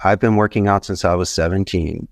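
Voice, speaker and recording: A man's voice: sits low, fairly even intonation, brisk, gravelly, dry recording, studio quality. Emphatic, rambling middle-aged man. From Philadelphia, US. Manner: emphatic, rambling, folksy advice with repeated phrases. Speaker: podcast guest, call center entrepreneur. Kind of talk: motivational advice in an interview.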